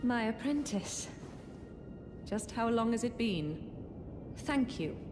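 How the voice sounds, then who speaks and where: A woman playing a composed, proud sorceress mentor in a fantasy game, speaking in a measured, formal manner. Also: a little high, expressive. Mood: pleased, triumphant. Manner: measured, formal, archaic phrasing. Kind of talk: dramatic fantasy game acting. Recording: voice under clear background music.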